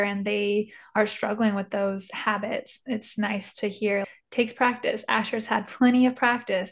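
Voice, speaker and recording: Flat-voiced woman podcast host. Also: mid-range voice. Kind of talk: casual podcast interview.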